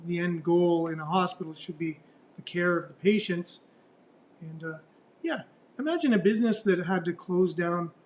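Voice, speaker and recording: A man hosting a casual morning news talk show, loud and chatty, posing rhetorical questions. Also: high-pitched, slight background noise. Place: radio studio. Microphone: studio mic close up.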